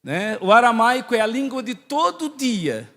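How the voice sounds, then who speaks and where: A man's voice: extremely high pitch, big pitch swings, normal speed, a bit bright. A man from southern Brazil with a Santa Catarina accent close to Portuguese, a priest giving a conversational religious talk, teaching and often putting questions to his listeners. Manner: didactic, asks the audience questions and checks in often. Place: large echoing hall. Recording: echoey hall with long reverb.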